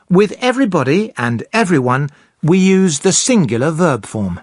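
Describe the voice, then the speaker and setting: A presenter explaining English grammar slowly and clearly for beginners. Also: medium pitch, no room sound, studio quality. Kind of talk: scripted teaching narration.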